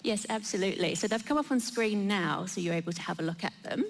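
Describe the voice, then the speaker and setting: A woman's voice: mid-range voice, fast talker. A woman panellist giving a methodical, explanatory presentation at a live event.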